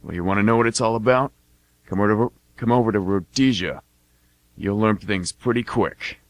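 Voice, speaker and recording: A man's voice: lowish voice, moderate pace, close to an everyday voice. A man playing a laid-back mercenary older brother in an amateur radio drama, with a casual, folksy delivery.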